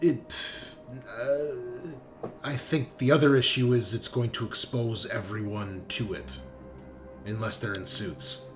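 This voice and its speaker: Lower voiced guy game mastering, casual and sweary, gets excited, bit of music underneath. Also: slow. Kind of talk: casual tabletop game narration.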